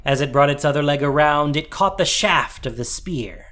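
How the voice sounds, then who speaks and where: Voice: mid-range voice, animated, normal speed, fairly bright tone. A narrator reading a scripted dramatic fantasy story, with music behind.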